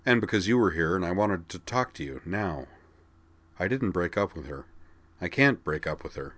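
A man voicing an exasperated young man who turns gentle and apologetic. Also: low-pitched, expressive, normal speed, no room sound. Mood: insistent. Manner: exasperated, then soft and apologetic. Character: exasperated young man, gruff but kind.

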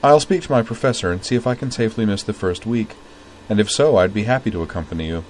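A man's voice: lowish voice, lively intonation, fast talker, a little mellow, slight background noise, natural voice, rough recording. A man doing a dry, formal young swordswoman. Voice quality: dry, precise, formal diction. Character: composed young woman, fencer, dry and formal.

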